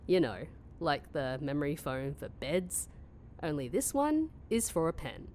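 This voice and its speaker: A woman playing a weary, sarcastic young office worker in a mockumentary audio comedy, speaking in a deadpan way. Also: low voice, unhurried, a little hiss or hum. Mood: weary, wry. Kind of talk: mockumentary comedy narration and dialogue.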